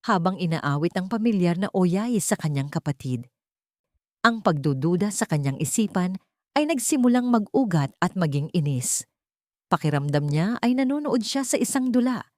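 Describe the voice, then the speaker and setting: A woman's voice: lowish voice, mellow, dry recording, clean studio sound. A woman reading a scripted dramatic story as its narrator.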